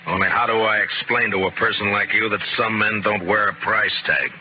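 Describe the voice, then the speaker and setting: An actor playing a stoic, incorruptible frontier marshal, terse and dry, in 1950s radio western acting and narration. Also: male voice, fairly low pitch, steady tone, brisk, noisy background, lightly acted. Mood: defiant. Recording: muffled old radio broadcast.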